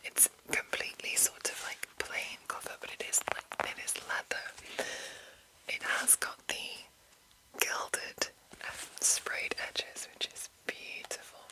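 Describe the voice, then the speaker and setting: A woman whispering in a soft, breathy, unvoiced voice as an ASMR host, rambling gently and reading passages aloud. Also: poor audio. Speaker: ASMR host introducing a roleplaying game.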